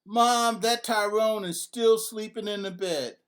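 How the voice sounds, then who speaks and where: A man voicing an excitable young boy calling loudly to his mama in a children's storybook. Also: high voice, unhurried, voice pushed up. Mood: excited. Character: excitable young boys shouting to their mama.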